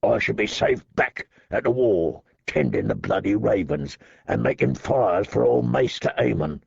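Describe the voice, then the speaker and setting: A man's voice: fairly low pitch, lively intonation, unhurried, gravelly, a little mellow, slightly rough, dry recording, dull audio, pitched below the performer's own voice, heavily acted. A man voicing a bitter, resentful kennel hand in an audiobook, snarling and sour. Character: bitter, boil-faced male kennel hand.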